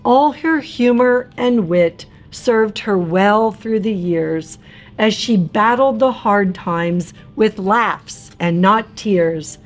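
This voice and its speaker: A woman narrating a rhyming picture book for young children in an expressive, theatrical and emphatic way. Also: medium pitch, slow pace, soft background music.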